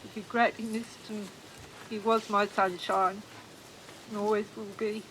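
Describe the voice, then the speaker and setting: A mourner speaking softly and tenderly at a funeral. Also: medium pitch, flat delivery, measured pace. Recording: distant mic, loud crowd and noise.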